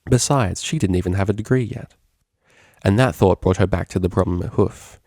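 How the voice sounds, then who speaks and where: A man reading a story aloud as scripted, expressive narration. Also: sits low, a little fast, no echo, clean studio sound. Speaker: fan fiction reader.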